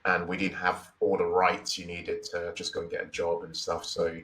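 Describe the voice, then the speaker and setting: Interview guest: Nigerian man, 40s, deep but crisp-edged voice, reflective and repetitive. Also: completely flat delivery, normal speed, a bit bright, far from the mic. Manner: thoughtful, repeats phrases, many "you know, like" fillers.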